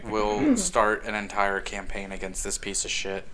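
A young man co-hosting a podcast in casual chat, with a slightly nasal voice that is a little rough in the throat. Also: on the low side, very animated, unhurried, fairly bright tone. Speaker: podcast co-host.

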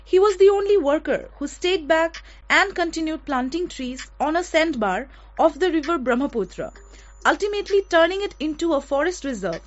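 A woman radio host with an Indian accent speaks in a very high-pitched voice. Her delivery is conversational presenting, addressed to a co-host and listeners. The audio is somewhat dull and lo-fi. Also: animated, normal speed.

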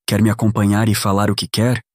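Man playing a low-voiced, cocky teenage jock. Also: monotone, quick pace, no room sound, studio quality, natural voice. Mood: cocky, casual. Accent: Brazilian. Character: cocky, popular teenage school athlete boy.

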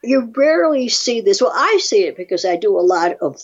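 A woman's voice: medium pitch, sing-song, a little fast, slightly rough. An older woman reading aloud and explaining in plain, wry, chatty asides, on a slightly dull, muffled recording. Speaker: guest reading and commenting on research studies.

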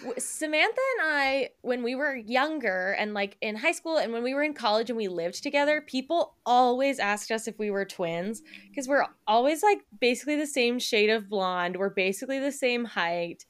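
A chatty young woman co-hosts a podcast in a bright, slightly high voice, leaning on "like" as she talks. She laughs often and keeps a loose, casual flow. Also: animated, moderate pace, few pauses, smooth. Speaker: podcast co-host and editor. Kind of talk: casual chat.

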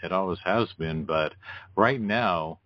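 Deep-voiced man interviewing, dry sound. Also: a little slow, dry recording, dull audio. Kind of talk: conversational music interview.

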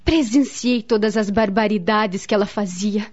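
A woman's voice: mid-range voice, moderate pace, a little mellow, lightly acted. An actress playing a grave, devout woman in a radio drama, speaking solemnly and earnestly with a Brazilian accent. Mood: grave, sorrowful. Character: grave, devout woman friend, counselling and recalling family tragedy. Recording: dull, lo-fi radio drama recording.

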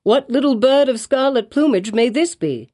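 A woman's voice: high-pitched, animated, normal speed, mellow, pitched above the performer's own voice. A woman voicing a kindly, fussy old male minister with quaint archaic speech. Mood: curious.

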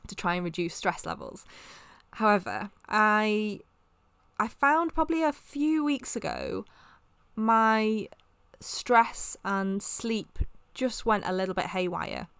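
A young woman vlogger with a slightly sore, croaky throat, talking to camera in a chatty, rambling way with many fillers. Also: medium pitch, fairly bright tone, dry recording. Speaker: wellness vlogger. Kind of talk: casual talk to camera.

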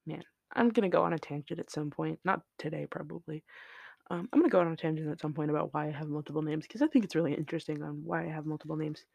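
A podcast host talking in an unscripted solo chat, with a flat, monotone delivery, rambling from one tangent to the next with lots of fillers, into a shelf-mounted mic. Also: mid-range voice, fast, creaky, no echo. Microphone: shelf-mounted studio mic.

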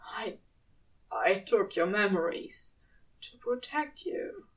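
A woman's voice: medium pitch, animated, takes it slowly, a bit bright, far from the mic. A woman playing a guilty, flustered male demon in audio fiction, stammering and thick-voiced as he confesses. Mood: guilty, subdued. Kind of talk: audio fiction character acting.

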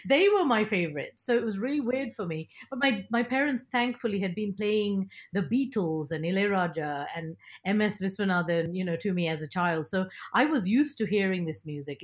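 A woman's voice: medium pitch, expressive, fairly quick, hardly any pauses. Talkative, emphatic woman in conversation. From Nigeria, later England. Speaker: podcast guest, broadcaster and film critic. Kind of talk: casual interview, telling personal story.

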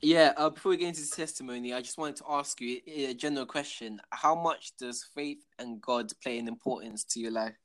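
A young man from England hosts a casual podcast interview. He talks at a middling pitch and keeps stopping for ums and quick restarts as he gathers his thoughts. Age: young adult. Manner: hesitant, many ums and restarts. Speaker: podcast host.

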